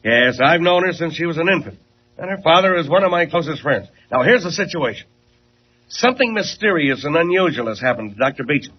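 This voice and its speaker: An actor playing a brisk, authoritative, fatherly newspaper editor in a 1940s style radio drama. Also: a little high, lively intonation, close to an everyday voice. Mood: serious. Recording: muffled, noisy old radio recording.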